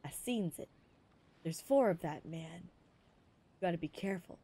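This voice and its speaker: A woman's voice: fairly low pitch, expressive, normal speed, gravelly, warm tone, husky, voice pushed down, full character voice. A woman voicing an exasperated, overprotective young man who scolds in slang, in an improvised soap-opera game roleplay. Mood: stern, warning. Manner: scolding, slangy, easily flustered.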